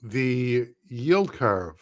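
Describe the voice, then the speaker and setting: A man hosting a live market stream, talking through charts in a blunt, opinionated way with casual slang, his voice going hoarse and breaking into throat-clearing. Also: medium pitch, very animated, drawn-out words. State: losing his voice.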